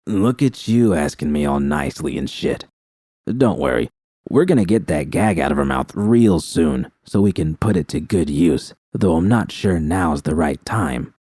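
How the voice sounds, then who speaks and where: Man acting a menacing, low-voiced leering gang boss. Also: animated, mellow, husky, no room sound, clean studio sound, heavily acted. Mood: mocking. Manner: taunting, sneering, drawn-out talk. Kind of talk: audiobook character dialogue.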